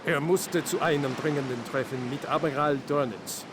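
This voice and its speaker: An actor playing a formal, composed naval officer in a war video game. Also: male voice, a little high, moderate pace, close to an everyday voice. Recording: distant, with water noise.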